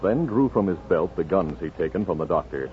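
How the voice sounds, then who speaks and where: A man's voice: deep voice, lively intonation, brisk. Dramatic male old-time radio narrator. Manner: dramatic, formal. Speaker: announcer and narrator. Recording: muffled 1950s radio broadcast. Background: music.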